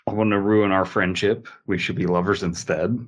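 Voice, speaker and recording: A man's voice: low-pitched, steady tone, moderate pace. A man in casual comedic podcast chat, playfully riffing on ideas and swearing freely. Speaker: podcast panelist.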